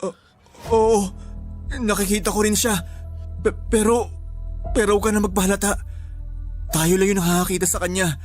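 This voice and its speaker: A man playing a calm, level-headed friend. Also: high voice, natural voice. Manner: measured, reassuring. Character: calm, steady young male friend. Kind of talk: radio drama acting. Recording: music bed behind.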